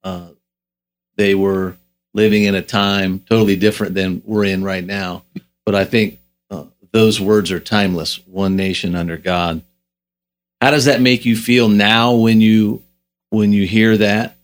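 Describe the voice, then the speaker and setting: A man hosting a discussion panel, posing measured, reflective questions to his guests. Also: on the low side, level tone. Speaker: panel host. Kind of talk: moderating a discussion.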